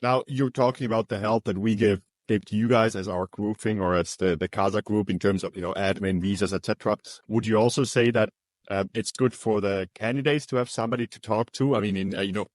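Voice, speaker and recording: A man's voice: lowish voice, steady tone, fairly quick, a little mellow, no room sound. A man interviewing a business partner, asking long, chatty questions full of "you know" and "um". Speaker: interviewer for recruitment agency.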